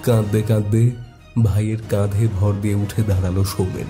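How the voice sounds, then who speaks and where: A man narrating a scripted horror story in a suspenseful, deliberate, dramatic way. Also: fairly low pitch, little pitch movement, music in the background.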